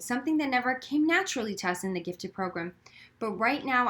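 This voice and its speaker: A woman reading a children's novel aloud as expressive, scripted first-person narration. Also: mid-range voice. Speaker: audiobook reader.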